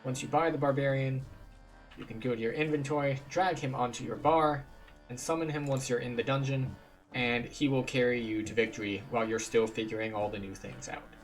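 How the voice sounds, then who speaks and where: A man's voice: medium pitch, fairly even intonation, fairly quick, faint music behind, rough recording. A man giving casual, explanatory walkthrough commentary as a video game guide. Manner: informal, explanatory. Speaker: gaming guide host.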